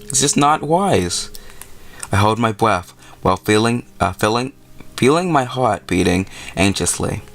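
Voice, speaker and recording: Male gaming host, lowish pitch, informal read-aloud of a visual novel, trips on words and corrects himself. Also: animated, a little slow, vocal fry, fairly bright tone. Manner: stumbles over words while reading, correcting himself. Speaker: let's-play host. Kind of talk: reading a visual novel aloud with casual commentary.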